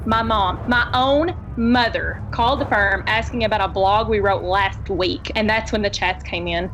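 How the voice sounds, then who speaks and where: Casting: actress as traumatised female office worker, mid pitch, halting and melodramatic witness delivery for audio comedy. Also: a bit bright, slight background noise, close to an everyday voice. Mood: shaken. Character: shaken female office employee, overwhelmed disaster survivor. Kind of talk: comedic audio drama acting.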